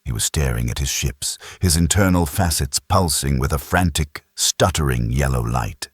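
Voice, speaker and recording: A man's voice: extremely low pitch, lively intonation, moderate pace, husky, no echo, studio quality. A man narrating a sci-fi audiobook in a grave, dramatic, suspenseful storytelling style.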